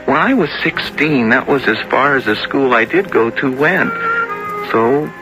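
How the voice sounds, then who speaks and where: Slow, earnest, simple teenage boy. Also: medium pitch, lively intonation, pushed and bright, rough recording. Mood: plain, earnest. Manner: naive, halting, wondering. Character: simple, earnest, dreamy teenage boy who talks to rocks. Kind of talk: 1970s radio drama acting, first-person storytelling. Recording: old radio broadcast with music underneath.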